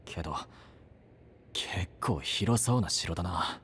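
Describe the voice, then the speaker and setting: A man voicing a blunt, brash young male knight apprentice in an anime voice drama, talking in a rough, casual way. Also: low voice, animated, takes it slowly, a bit bright, a little hiss or hum, heavily acted.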